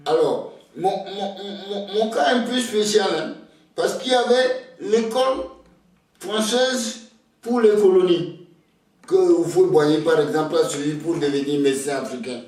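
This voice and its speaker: An older Togolese man whose first language is Ewe, speaking slowly and hesitantly, often repeating words as he starts a thought. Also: high-pitched, a little mellow, distant mic. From Togo. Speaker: interviewee, elder. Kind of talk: slow reflective storytelling. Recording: very echoey room, voice off-mic.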